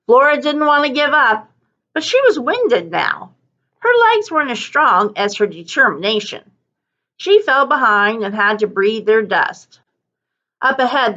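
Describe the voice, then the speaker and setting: A woman narrates a children's story in a bright, clearly projected voice at an unhurried pace. Her emphasis is deliberate and dramatic, and the recording is slightly dull and lo-fi. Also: fairly high pitch. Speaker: teacher reading a book aloud.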